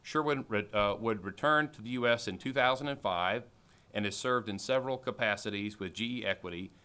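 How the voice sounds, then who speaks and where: Guy introducing a speaker at a podium mic, lowish voice, lots of uh's reading his notes. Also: a little fast, pushed and bright, smooth. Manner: reads from notes with frequent uh fillers. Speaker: alumni board member introducing speaker. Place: large auditorium stage at a podium. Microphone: podium microphone.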